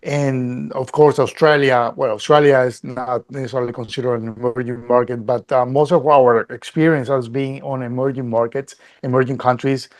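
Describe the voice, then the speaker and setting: A man's voice: medium pitch, fairly even intonation, normal speed, hardly any pauses. A middle-aged man from Colombia, a company CEO answering interview questions earnestly, often restarting and repeating words.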